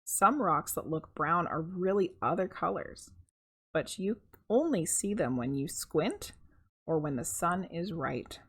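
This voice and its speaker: Husky, expressive woman reading a storybook. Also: on the low side, measured pace. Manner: expressive, conversational, chuckles at own asides. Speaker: host reading a picture book aloud. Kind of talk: children's story reading with casual asides to viewers.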